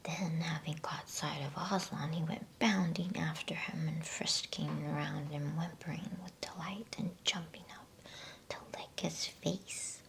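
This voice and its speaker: A woman whispering breathily and gently in a hushed ASMR style, as a host reading a bedtime storybook. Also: low-pitched, fairly even intonation, gravelly, a little hiss or hum. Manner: gentle, slow, hushed delivery.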